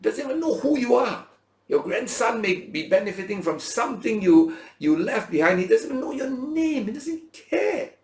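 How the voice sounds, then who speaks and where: Creaky-voiced man teaching in an echoey room. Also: very high voice, sing-song, moderate pace. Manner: rhetorical questions and check-in tags; dramatizes imagined speakers' words in everyday language. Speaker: Bible school teacher. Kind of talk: informal Bible lesson, conversational preaching. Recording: roomy, echoing teaching space.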